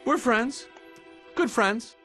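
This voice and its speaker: A man voicing a wisecracking, neurotic young bee in a cartoon game, wry and given to exclamations, with loud music behind him. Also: extremely high pitch, drawn-out words, exaggerated voice. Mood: wry, casual.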